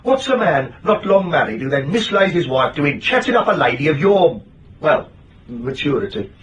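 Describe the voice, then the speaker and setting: A blunt British detective boss in a radio play, with a highish, swooping voice. He wisecracks and lectures in clipped colloquial bursts, heard a little way from the microphone. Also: sing-song, moderate pace, distant mic, dull audio. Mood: wry, warning. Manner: colloquial, emphatic, broken-off phrases. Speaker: actor. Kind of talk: radio drama acting.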